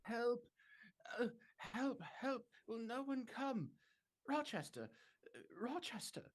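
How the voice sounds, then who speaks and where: An actor playing a wounded, frightened gentleman in an old-time radio drama, breathy, gasping and plaintive. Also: extremely high pitch, sing-song, takes it slowly, slight background noise, exaggerated voice. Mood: panicked. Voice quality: husky, breathy.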